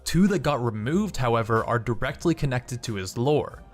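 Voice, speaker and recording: A man's voice: medium pitch, animated, moderate pace. A young man hosting a gaming channel, reading scripted video narration. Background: background music.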